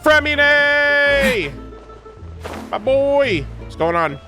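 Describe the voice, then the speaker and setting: Excitable high-pitched man streaming a game. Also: extremely slow, soft background music. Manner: excitable, slangy, swearing. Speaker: streamer playing and reacting to a video game. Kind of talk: casual gaming commentary to a chat.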